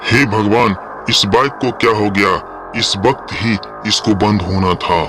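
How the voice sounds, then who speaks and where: Man playing an earnest office worker, low-voiced. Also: a little slow, over music, natural voice. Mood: frightened. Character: earnest adult male office worker, worried, stubborn sceptic.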